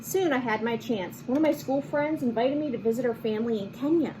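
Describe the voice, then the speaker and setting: A woman reading a picture book aloud to children in an expressive, animated manner, with chatty asides and simple explanations. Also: on the high side, brisk, background noise. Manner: animated, acts out lines and explains words to children. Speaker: children's read-aloud host.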